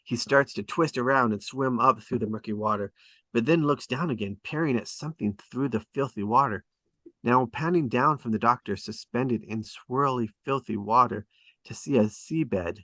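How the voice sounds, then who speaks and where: A man's voice: medium pitch, a bit bright, no room sound. A man reading stage directions as dramatic scripted narration at a table read.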